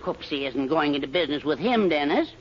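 A fussy, doting, henpecked husband in a vintage radio comedy. His breathy, husky voice hesitates and wobbles widely in pitch. The old recording sounds dull and muffled. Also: lively intonation, fairly quick, a faint crowd. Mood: meek, fussy. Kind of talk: 1940s radio sitcom acting.

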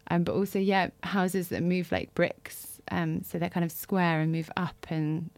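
Woman, podcast panellist. Low register, informal anecdotal delivery, very dry sound. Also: little pitch movement, a little slow, darkish tone, no echo. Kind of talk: casual personal storytelling.

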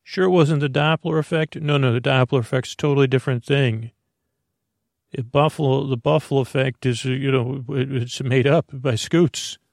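A man hosting a sleep podcast in a creaky, gravelly voice, rambling haltingly through whimsical tangents and imagined back-and-forth with himself. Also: mid-range voice, steady tone, no echo, clean studio sound. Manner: meandering, tangent-prone, halting; whimsical wordplay, talks to himself in imagined dialogue. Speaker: podcast host.

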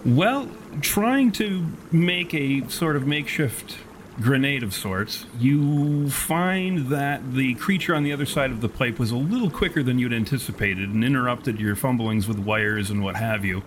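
A man's voice: mid-range voice, expressive, a little slow, a little hiss or hum, poor audio. A man improvising narration as game master of a tabletop roleplaying game, with wordy, storyteller phrasing.

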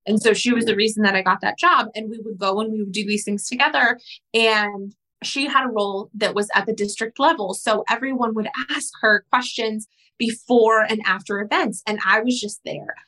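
A woman in her thirties whose first language is Spanish, telling her story in an interview in a frank, animated way and swearing casually. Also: on the high side, moderate pace, poor audio. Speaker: guest entrepreneur.

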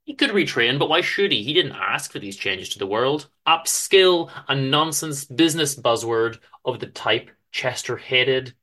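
A man reads literary fiction aloud in a dramatic style. His voice is mid-pitched, with a sharp, bright edge. He places emphasis deliberately, using theatrical pauses. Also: lively intonation. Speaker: author reading own story aloud. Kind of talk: dramatic literary story reading.

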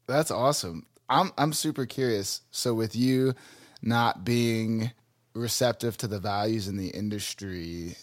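A man's voice: fairly low pitch, measured pace. A man hosting a podcast interview, upbeat and a little self-deprecating. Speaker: podcast host.

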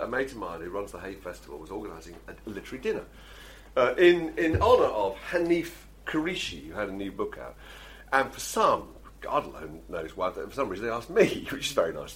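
A man co-hosting a podcast, telling animated anecdotes in casual chat and laughing often. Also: fairly low pitch. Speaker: podcast co-host.

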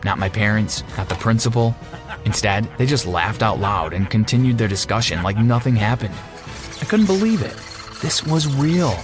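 A repentant teenage bully played by a man tells his story in the first person. The voice is fairly low, earnest and dramatic, with music running underneath. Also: animated, moderate pace, lightly acted. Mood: anguished.

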